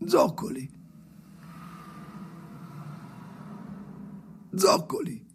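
An actor playing a haunted, obsessive man speaking to his dead father in a halting, repetitive radio theatre monologue, with a husky, gravelly voice. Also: very high voice, wildly swooping pitch, exaggerated voice. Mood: frenzied, commanding. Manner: halting, repetitive, self-correcting, shifting from murmurs to outbursts.

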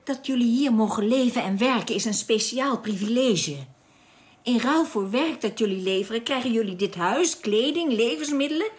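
A woman's voice: fairly high pitch, lively intonation, normal speed, natural voice. A woman playing a firm, informed guide from an alien world in a science fiction radio drama, speaking instructively. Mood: firm warning.

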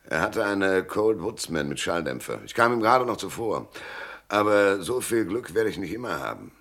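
A man's voice: deep voice, sing-song, normal speed, creaky, fairly bright tone, heavily acted. An actor playing a stubborn, cool-headed male private detective in a German radio drama, laconic and hardboiled. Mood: resolute, cool.

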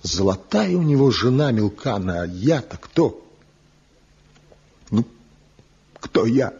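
An actor playing a bitter, envious wandering craftsman in a theatrical radio drama, declaiming with impassioned, weighty pauses. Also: mid-range voice, very animated, mellow, husky, heavily acted. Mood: tormented confession. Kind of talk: theatrical Soviet radio play acting. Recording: muffled 1970 radio drama recording.

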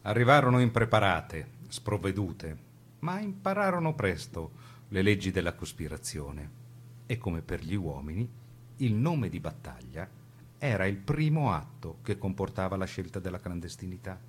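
Guy narrating a scripted radio documentary, theatrical and measured, lots of pitch movement, some hum behind him. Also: sing-song.

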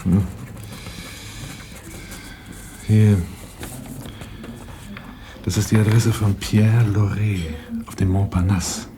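An actor playing a weary, resolute older brother in a 1980s radio drama, speaking in a terse, controlled, matter-of-fact way. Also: male voice, on the low side, flat delivery, slow, over music. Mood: firm, weary. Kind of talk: 1980s German radio drama, first-person narration and dialogue.

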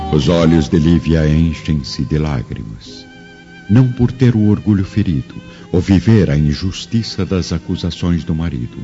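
A man's voice: very deep voice, mellow. A man narrating a radio drama in a solemn, theatrical scripted style. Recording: dull lo-fi radio drama, music underneath.

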